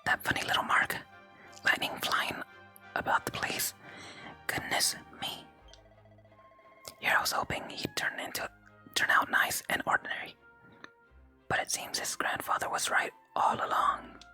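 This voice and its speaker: An ASMR streamer voicing a fond, proud, gently worried village mother from a video game in a breathy whisper. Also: measured pace, pauses often. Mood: fond, amazed. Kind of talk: whispered game dialogue reading. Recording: close whisper with game music behind.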